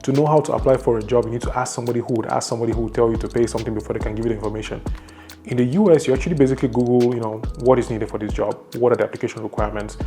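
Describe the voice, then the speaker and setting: Young Cameroonian man, upbeat advice vlogger, brisk and warm-toned, light music bed. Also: medium pitch, fairly even intonation. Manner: enthusiastic, conversational, lists numbered tips. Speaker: YouTuber. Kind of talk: advice vlog.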